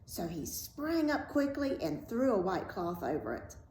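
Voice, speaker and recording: A woman's voice: mid-range voice, sing-song, unhurried, darkish tone. A woman reading a fairy tale aloud as a storyteller, in scripted narration. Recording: roomy echo with faint background noise.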